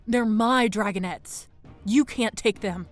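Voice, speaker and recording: A woman's voice: medium pitch, slow, soft background music, lightly acted. A woman playing a proud dragon warrior captain and young mother in an audio drama, defiant, earnest and resolute.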